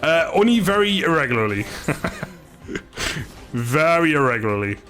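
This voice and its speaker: A man's voice: a little high, very animated, measured pace, fairly bright tone, soft background music. A man doing casual live game commentary, chatting informally with viewers, joking and swearing mildly. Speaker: game streamer.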